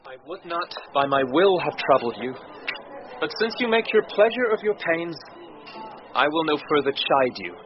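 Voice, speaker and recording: High, lively courteous young gentleman. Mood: grateful. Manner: courteous, measured verse delivery. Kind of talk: classic BBC radio Shakespeare acting. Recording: dull, noisy old radio broadcast.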